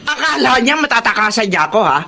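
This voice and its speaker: A woman playing an angry, threatening moneylender in a Tagalog radio drama, forceful and menacing in manner. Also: fairly high pitch, very animated, quick pace, heavily acted. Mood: furious, threatening. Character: angry, threatening female moneylender out to collect debts.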